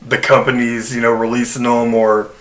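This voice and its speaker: A man's voice: medium pitch, little pitch movement, moderate pace, a bit bright. A man co-hosting a podcast, chatting casually about films in a blunt, informal way. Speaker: podcast co-host. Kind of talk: casual film chat.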